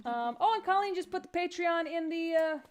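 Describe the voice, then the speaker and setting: A woman's voice: very high-pitched, expressive, fairly quick, slight background noise. A woman with a Texan accent hosting a live stream, chatting casually and joking in rambling asides. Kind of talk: casual comedic chat.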